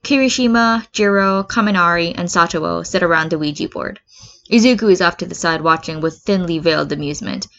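A woman's voice: lowish voice, fairly quick, creaky, fairly bright tone. A woman narrating a scripted piece of fan fiction as a reader. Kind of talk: scripted story narration with acted dialogue. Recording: dull lo-fi recording.

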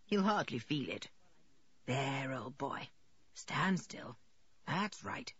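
A woman voicing an unconcerned, brisk male country vet, reassuring and matter-of-fact, in an audiobook. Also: very deep voice, big pitch swings, fairly bright tone, slightly rough, no echo, lo-fi sound, voice pushed down, exaggerated voice. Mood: calm reassurance. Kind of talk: audiobook character voice.

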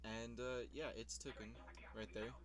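A man's voice: mid-range voice, fairly even intonation, measured pace. A young man in casual vlog commentary, rambling about his old videos, swearing casually and laughing at himself.

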